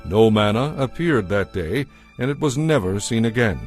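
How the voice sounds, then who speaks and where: Low-pitched man narrating scripture solemnly. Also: expressive, normal speed. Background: background music.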